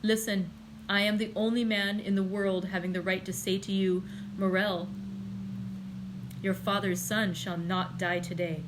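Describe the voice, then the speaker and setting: Woman voicing the Count of Monte Cristo, calm and commanding. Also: mid-range voice, noisy background, natural voice. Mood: firm. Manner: slow, quiet and measured. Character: calm, commanding older nobleman, gently stern. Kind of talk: audiobook character reading.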